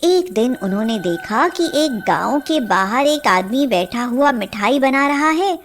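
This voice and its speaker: A woman narrating a scripted fairy tale as a storyteller, in a measured manner. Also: sits high, animated.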